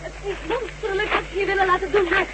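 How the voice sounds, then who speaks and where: A woman's voice: very high-pitched, level tone, fast talker. An actress playing a loyal female ally, pleading and insistent, in a science fiction radio drama. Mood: urgent pleading. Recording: noisy old radio drama recording.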